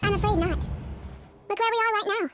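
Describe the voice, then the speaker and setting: A woman playing a composed, analytical dream-eater therapist in a game, speaking in a measured, articulate and firm way. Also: extremely high pitch, over music. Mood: grave, firm.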